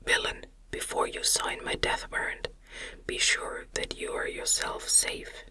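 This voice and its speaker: A narrator voicing a defiant, resolute man facing his monstrous creation in a gothic story, with firm, indignant, formal speech in a breathy ASMR whisper. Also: a little slow, pushed and bright. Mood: defiant, warning.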